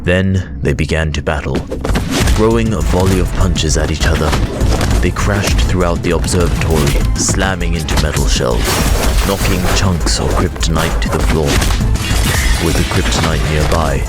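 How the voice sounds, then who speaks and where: A man reading scripted dramatic narration, telling the story in a measured, suspenseful way. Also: extremely low pitch. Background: loud dramatic music.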